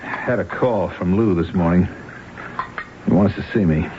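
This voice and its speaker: Male, deep, playing a scared debtor in vintage radio drama; pleading manner, dull hissy broadcast. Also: moderate pace, lightly acted. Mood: anxious, pleading. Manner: anxious, pleading. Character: desperate, frightened, indebted married man. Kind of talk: 1970s radio drama acting. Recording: muffled, noisy old radio broadcast.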